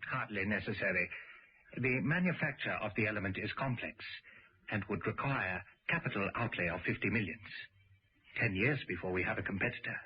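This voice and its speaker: A man's voice: on the low side, lively intonation, a little fast, lightly acted. An actor playing a shrewd Japanese businessman in a radio drama, polite, with stilted broken English and emphatic phrasing. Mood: courteous, businesslike. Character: courteous, shrewd Japanese corporate executive. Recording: muffled, slightly noisy vintage radio recording.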